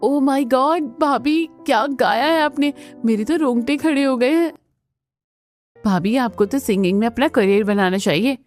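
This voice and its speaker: A woman's voice: high voice, unhurried, a little mellow, soft background music. A woman voicing a bubbly young sister-in-law in a family cartoon, gushing and eager. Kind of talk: Hindi family cartoon dubbing.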